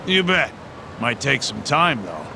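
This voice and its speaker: Gravelly, chatty homeless guy. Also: medium pitch, big pitch swings, unhurried, noisy background. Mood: guarded, casual. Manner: slangy, folksy, familiar. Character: chatty, folksy homeless man trading tips and favors. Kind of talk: video game cutscene acting.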